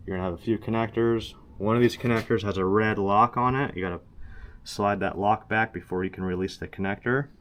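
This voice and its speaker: A man's voice: lowish voice, fairly quick. A man giving a casual, hands-on how-to, plain-spoken and matter-of-fact as he goes step by step. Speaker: host of a truck DIY install video. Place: in and around a pickup truck. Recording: steady background noise.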